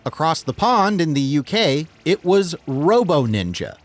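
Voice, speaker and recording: Animated North American man, higher-pitched, over music. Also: unhurried. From United States. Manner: enthusiastic, wry, self-deprecating. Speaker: host of a film video essay channel. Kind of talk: scripted video essay narration with joking asides.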